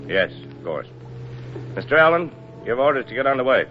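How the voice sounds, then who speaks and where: An actor playing a stern, middle-aged Navy admiral in a 1940s radio drama, speaking in a formal, measured, authoritative way. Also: male voice, medium pitch, sing-song, lightly acted. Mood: formal, commanding. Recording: muffled, noisy 1940s radio recording.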